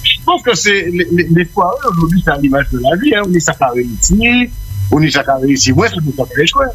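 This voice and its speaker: A man's voice: a little high, sing-song, fairly quick, a bit bright, slight background noise, poor audio. A man, a guest on live radio, talking at length about local events with hesitant fillers. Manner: talkative, hesitant with fillers, promotional.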